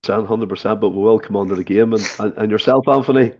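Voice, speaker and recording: Hoarse Scottish podcast host. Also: male voice, fairly low pitch, fast talker, darkish tone, lo-fi sound. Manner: chatty, leads the talk with questions. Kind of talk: casual podcast chat. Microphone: remote call setup.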